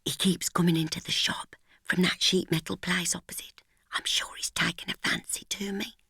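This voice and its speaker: A woman voicing a lively, restless teenage girl, teasing and coaxing. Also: on the low side, slow pace, no room sound, studio quality. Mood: whispering. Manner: teasing, coaxing, whispery at times. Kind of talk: audiobook dialogue.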